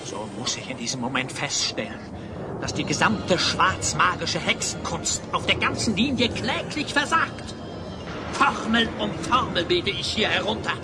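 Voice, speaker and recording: A man's voice: high-pitched, expressive, measured pace. An actor playing a megalomaniac sorcerer in an audio drama, pompous and theatrical, drawing out his cries. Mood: indignant, baffled. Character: raving megalomaniac sorcerer, male master of time. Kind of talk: German audio drama acting. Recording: dramatic music behind the voice.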